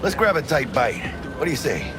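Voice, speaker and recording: A man's voice: mid-range voice, sing-song, a little fast, natural voice. A male actor playing a brash, big-hearted street mercenary with a Mexican-American accent, slangy and mixing in Spanish, in a video game. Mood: brash, chatty. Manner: slangy, peppering speech with Spanish. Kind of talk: cinematic video game acting. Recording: loud music behind the voice.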